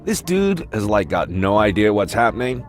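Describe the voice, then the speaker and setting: Swooping, chummy slacker vampire dude. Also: male voice, fairly low pitch, very animated, normal speed, faint music behind. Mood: laid-back, chummy. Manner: slangy stoner-like drawl, chummy. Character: laid-back slacker male vampire, chummy club bouncer. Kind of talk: video game cutscene acting.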